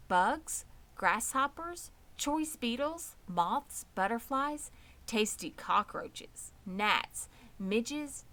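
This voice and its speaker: Woman as a poised, unhurried lady spider. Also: on the high side, fairly bright tone, voice pushed up. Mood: relishing. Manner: composed, precise, matter-of-fact. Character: poised, matter-of-fact, bloodthirsty female spider, pleasant and proud. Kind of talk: children's storybook read aloud.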